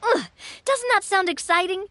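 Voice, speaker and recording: An actor playing a bubbly, confident young woman in a video game, eager, playful and expressive. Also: very high-pitched, moderate pace. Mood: excited, teasing. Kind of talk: animated video game acting.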